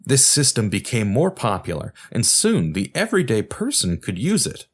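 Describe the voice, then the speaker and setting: A man's voice: on the low side, wildly swooping pitch, normal speed, husky. A man hosting a podcast, reading scripted documentary narration in an explanatory way and addressing the listener directly.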